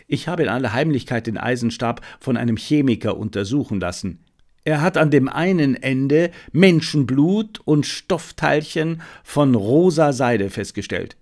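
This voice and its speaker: A man voicing a confident, methodical detective who lays out his reasoning deliberately and assertively in an audiobook. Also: medium pitch, a little mellow. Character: confident, methodical male detective explaining a solved case. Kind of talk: dramatised audiobook reading.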